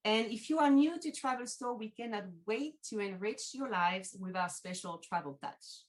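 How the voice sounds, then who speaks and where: A woman's voice: on the high side, moderate pace. French-accented woman presenting a travel podcast. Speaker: podcast host, travel company team manager. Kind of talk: prepared video podcast presentation.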